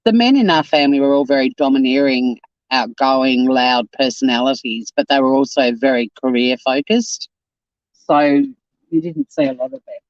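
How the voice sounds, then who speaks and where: A man's voice: mid-range voice, lively intonation, normal speed, no echo, highs cut off. Chatty man in his fifties. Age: 50s. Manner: chatty, reads viewer comments aloud and answers them. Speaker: live stream host. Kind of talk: casual live stream chat. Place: home.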